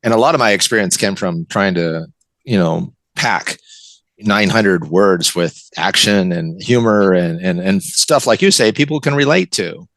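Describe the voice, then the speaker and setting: A man's voice: deep voice, expressive, unhurried, fairly bright tone, dry recording. An older man with an American accent, talking reflectively in a conversational interview and often repeating his words. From West Coast of the United States. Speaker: interview guest, writer.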